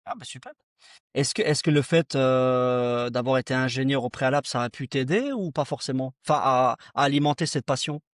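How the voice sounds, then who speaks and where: A man's voice: mid-range voice, a little fast, no echo, studio quality. A man hosting a podcast as a casual chat, affirming his guest and often repeating short phrases. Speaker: podcast host.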